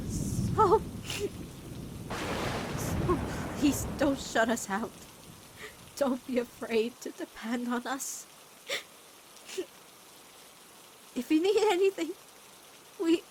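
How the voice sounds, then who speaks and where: A woman voicing a devoted, anxious teenage witch girl in an animated-style comic dub, earnest and halting in delivery. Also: high-pitched. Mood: earnest, pleading.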